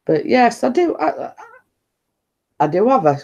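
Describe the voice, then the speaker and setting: A woman with a deep-toned voice, chatting casually and rambling to her viewers on a live stream. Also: very animated, unhurried, vocal fry, a little mellow, muffled recording. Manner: chatty, meandering, repeats stock phrases and laughs often.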